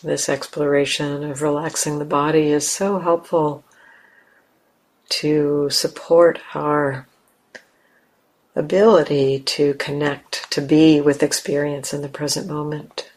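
A woman leading a guided meditation as a teacher, speaking gently in simple, unhurried phrases with long pauses. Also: deep voice, flat delivery, rough recording.

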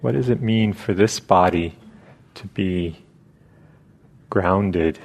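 Guy teaching meditation, deep voice, really slow, short phrases w long gaps. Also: creaky, darkish tone. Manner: halting, with long pauses between short phrases. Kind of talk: guided meditation instruction.

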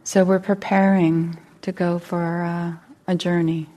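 A woman guides a meditation in a low, very soft-edged, mellow voice. She speaks very slowly and gently, leaving long pauses between short phrases. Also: little pitch movement. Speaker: meditation teacher.

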